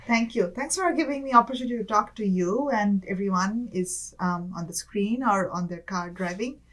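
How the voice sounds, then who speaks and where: A young woman from Bangladesh, a guest in an interview, talking casually with many fillers and soft laughs. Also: medium pitch, normal speed, rough recording. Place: campus studio.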